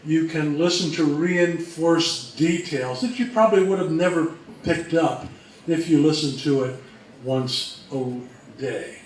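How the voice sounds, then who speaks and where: A man with a fairly high-pitched voice gives an explanatory talk. He speaks in measured, emphatic phrases. The recording is roomy and distant, with a little background noise. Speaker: co-presenter at an old-time radio convention talk. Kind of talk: informal explanatory presentation. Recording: echoey, distant, faintly noisy live recording.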